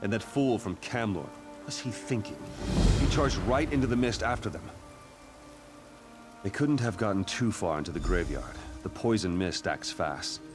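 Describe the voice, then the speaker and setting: Stern military commander, played by a man, fantasy game. Fairly low voice, grave and blunt, clear musical backing. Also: expressive, normal speed, music in the background, natural voice. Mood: exasperated, concerned. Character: stern male military commander fighting a necromancer. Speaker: game character. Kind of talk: scripted fantasy game dialogue.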